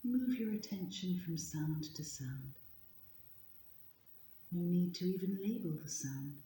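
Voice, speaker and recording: A woman leading a guided yoga nidra meditation in a gentle, soothing, hushed manner, leaving long pauses. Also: lowish voice, expressive. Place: indoor room at a retreat, live session. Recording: roomy echo, faint background noise.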